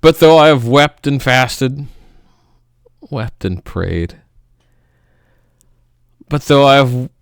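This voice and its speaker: A man giving a theatrical, melodramatic poetry reading, swinging between loud outbursts and hushed murmurs. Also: mid-range voice, lively intonation, takes it slowly, pauses often, smooth, dry recording, studio quality. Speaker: poetry reader.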